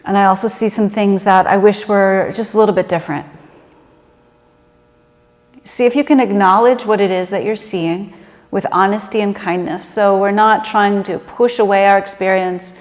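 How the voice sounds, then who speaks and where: A woman leading a guided meditation in a gentle, unhurried and conversational manner. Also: medium pitch, fairly even intonation. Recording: reverberant room with long echo.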